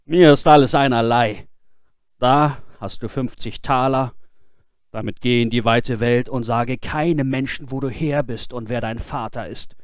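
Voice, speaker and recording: A storybook peasant father, voiced by a man reading aloud, stern and exasperated. His delivery is scolding and curt, at a middle pitch. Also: unhurried, dry recording, studio quality, natural voice. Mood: bitter, dismissive. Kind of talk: fairy-tale storybook character voice.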